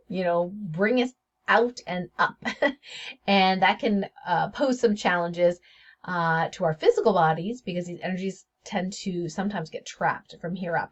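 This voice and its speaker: A woman hosts her own spiritual wellness video, talking in a loose, chatty way and often laughing between thoughts. Her voice sits fairly low for a woman. The recording sounds dull and lo-fi. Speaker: host of a spiritual health video series. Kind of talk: casual solo video talk. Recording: dull lo-fi audio.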